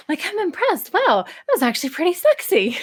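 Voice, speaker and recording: A woman's voice: very high-pitched, wildly swooping pitch, normal speed. A woman chatting casually as a podcast guest, candid, enthusiastic and free with swearing. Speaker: podcast guest, audio script writer and performer.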